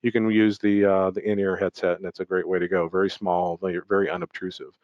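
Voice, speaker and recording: A man with a fairly low voice walks through a product presentation in an explanatory, off-the-cuff way. He often pauses on ums and repeats small words. The sound is dry and close, with a dull, lo-fi webinar quality. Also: level tone, a little mellow, no room sound. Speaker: product presenter for an audio equipment maker.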